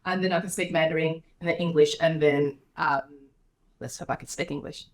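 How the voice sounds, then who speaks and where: A woman's voice: deep voice, fairly even intonation, fairly quick. An Australian woman raised speaking Taiwanese, chatting as an interview guest in loose run-on sentences with lots of fillers. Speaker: guest, estate agent and DJ. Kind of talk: casual interview chat. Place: hotel room in a city. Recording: echoey room, poor mic.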